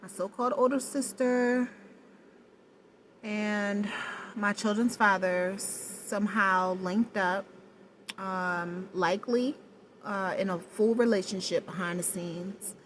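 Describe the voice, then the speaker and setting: A woman with a low voice talking straight to the camera in a personal vlog, hesitant and searching for words, with many pauses and restarts. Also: fairly even intonation, slight background noise, poor audio. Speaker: vlogger. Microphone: no external mic, camera mic.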